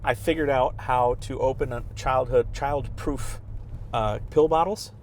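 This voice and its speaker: A man's voice: medium pitch, steady tone, a little slow, darkish tone, slight background noise. A man in his thirties, a priest chatting casually, rambling and restarting his sentences often. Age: 30s. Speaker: priest guest. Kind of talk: casual chat.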